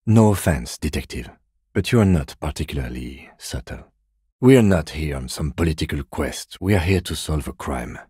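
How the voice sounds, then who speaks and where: An actor playing a weary, dry, by-the-book police detective in a video game, speaking in a measured, restrained and formal deadpan. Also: male voice, rumbling low, slightly rough, dry recording, exaggerated voice. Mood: dry, composed.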